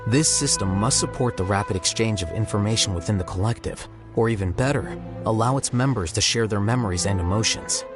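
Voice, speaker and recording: Low-voiced aloof young scholar played by a man. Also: close to an everyday voice. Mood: detached, explanatory. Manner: measured, matter-of-fact explaining. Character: composed, analytical, aloof young male scholar. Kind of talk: video game voice acting. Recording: music behind the voice.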